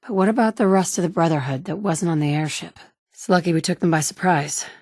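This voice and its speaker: Game heroine, adult woman survivor, low register, firm and direct, dry booth recording. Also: brisk, a little mellow, no room sound. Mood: matter-of-fact. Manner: firm and direct, shifting between stern and sympathetic. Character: determined adult woman, pragmatic wasteland survivor. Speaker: player character. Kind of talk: game dialogue voice acting.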